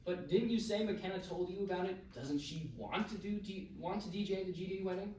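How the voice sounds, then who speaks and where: A man's voice: sits high, fairly quick, a little mellow, natural voice. A man at a screenplay table read playing a questioning, skeptical friend. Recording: very echoey, distant mic, faint noise.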